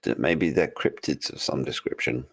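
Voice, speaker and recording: A man with a very deep voice, co-hosting a podcast. He talks casually and hesitantly, musing on ideas and restarting his thoughts. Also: normal speed, a bit bright, breathy edge. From United Kingdom. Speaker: podcast co-host. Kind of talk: casual chat.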